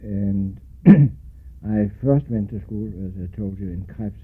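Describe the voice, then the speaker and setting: An older man from Denmark with a Danish accent, recalling his life in an oral history interview, pausing often and spelling out names letter by letter. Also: on the low side, steady tone. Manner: slow, spells out names letter by letter, many hesitations. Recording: tinny lo-fi recording with faint hiss.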